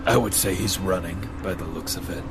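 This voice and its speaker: An actor playing a stern, formal masked crime-fighter in an audio drama, speaking in a measured, commanding way. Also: male voice, low voice, fairly even intonation, over music, natural voice. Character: grave, stern masked crime-fighting hero mentoring a youngster.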